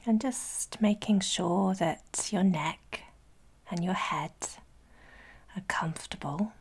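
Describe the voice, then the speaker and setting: A woman leading a guided sleep meditation in a breathy, whispery soft voice, soothing and gentle, with long pauses between phrases. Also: on the low side. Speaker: guided relaxation instructor.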